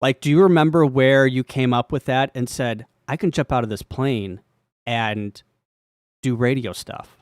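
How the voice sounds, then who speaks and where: A man's voice: mid-range voice, measured pace, no room sound. A man with a mid-range voice hosts a casual live interview. He feels his way through questions, often rewording them, and the sound is dry with no room around it. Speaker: host, interviewer.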